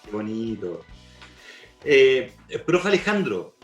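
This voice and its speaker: A man's voice: medium pitch, very animated, takes it slowly, highs cut off, faint music behind. Chilean male host in a roomy space. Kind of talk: interview hosting.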